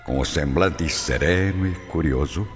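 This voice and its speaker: A man's voice: extremely low pitch, unhurried. A man with a Brazilian accent narrating a radio drama from a script, solemn, formal and theatrical. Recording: dull audio, long reverb, music behind.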